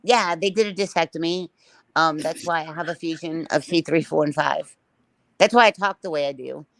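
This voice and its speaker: A woman hosting a livestream panel, talking bluntly and matter-of-factly in a raspy voice. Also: low voice, normal speed, dry recording. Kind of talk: casual panel chat.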